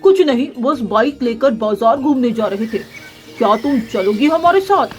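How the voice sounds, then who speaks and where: A woman's voice: on the high side, animated, normal speed, darkish tone, soft background music, close to an everyday voice. A woman voicing a friendly young male cartoon pigeon, curious and supportive.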